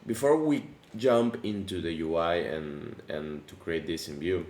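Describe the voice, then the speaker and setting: A man hosting a casual coding tutorial, his voice deepened by allergies, talking in a relaxed, chatty way with many fillers and restarts. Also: unhurried. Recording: echoey room with faint background noise.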